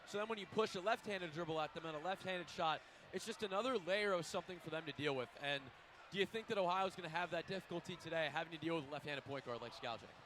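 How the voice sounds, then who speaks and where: Loud young guy breaking down hoops stats, pretty high voice, faint arena noise. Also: quick pace. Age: young adult. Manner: emphatic, loud, stat-heavy. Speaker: student radio basketball cohost. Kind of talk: live basketball pregame analysis. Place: courtside in a packed arena.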